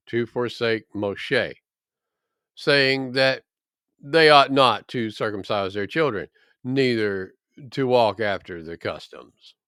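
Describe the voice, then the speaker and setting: Male scripture narrator, slow and solemn, emphatic phrasing, very dry recording. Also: mid-range voice, fairly bright tone, smooth, no echo. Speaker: scripture reader. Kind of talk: solemn scripted Bible reading.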